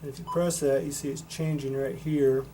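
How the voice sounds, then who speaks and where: A man's voice: mid-range voice, little pitch movement, moderate pace. A man giving a casual, unscripted product demonstration in a matter-of-fact, explanatory way. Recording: noticeable background noise.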